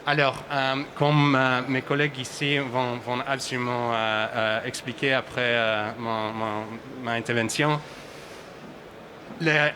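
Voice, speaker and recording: A man from Australia speaking with an Australian English accent as a researcher on a conference panel, halting and restarting often as he searches for words. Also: mid-range voice, fairly even intonation, background noise. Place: conference hall.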